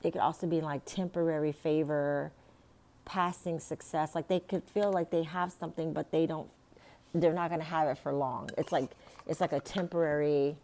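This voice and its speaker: A woman with a raspy, hoarse voice giving a casual tarot reading, rambling with many fillers and restarts. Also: low voice, fairly even intonation, normal speed, darkish tone. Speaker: tarot reader.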